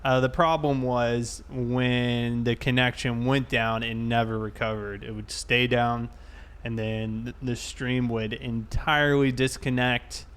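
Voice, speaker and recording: A man chatting casually and thinking aloud in a halting way as he livestreams a police roleplay game. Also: mid-range voice, level tone, a bit bright, smooth.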